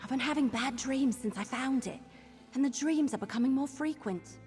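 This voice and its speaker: An actress plays an earnest young woman haunted by visions in a fantasy game. Her voice is fairly high and confiding, a little hesitant. It rings with long reverb over soft music. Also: moderate pace, close to an everyday voice. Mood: troubled, haunted. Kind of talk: fantasy video game voice acting.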